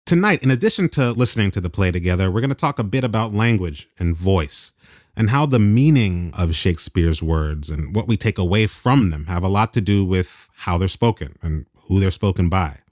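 A male radio host narrates in a relaxed, conversational way. His voice is lowish and very melodic, recorded dry and close, with faint music underneath. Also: normal speed, dry recording.

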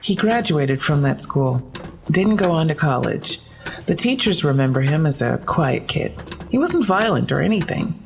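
A woman's voice: low-pitched, big pitch swings, normal speed, gravelly, noisy background, full character voice. A woman acting a businesslike police detective in an audio drama, speaking in a matter-of-fact, slightly awkward way. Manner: matter-of-fact, clipped case briefing.

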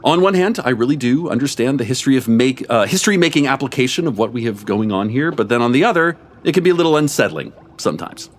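A man reading a comic story aloud before a live audience, with expressive, animated storytelling and a deadpan edge. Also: medium pitch, fast talker, hardly any pauses. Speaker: story reader.

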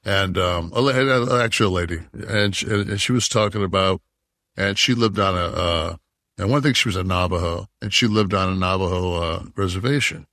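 A man's voice: low-pitched, moderate pace, fairly bright tone, no room sound, clean studio sound. A man in his sixties from Detroit, co-hosting a podcast and talking in a heated, profane, rambling way. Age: 60s. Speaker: podcast co-host. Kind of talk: casual chat.

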